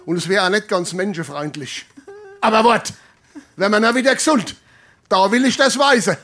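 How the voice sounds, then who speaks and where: A woman playing an angry, hard-nosed creditor in a comic dialect play, gruff and scolding in a Hessian Darmstadt accent. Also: mid-range voice, moderate pace, a bit bright, lightly acted. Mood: grudging, resigned. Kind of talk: comic dialect stage acting.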